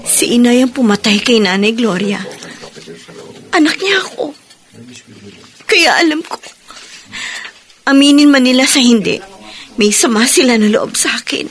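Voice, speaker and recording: An actress playing a grieving, guilt-ridden young mother in a radio drama, speaking quietly and resigned. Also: a little high, expressive, measured pace, pauses often. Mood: sorrowful.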